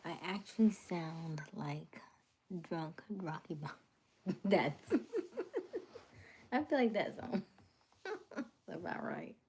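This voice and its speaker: A woman chatting casually with her viewers on a livestream, drifting into soft whispers and laughing often. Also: fairly low pitch, sing-song, slow pace, pauses often, a little hiss or hum. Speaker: livestream host.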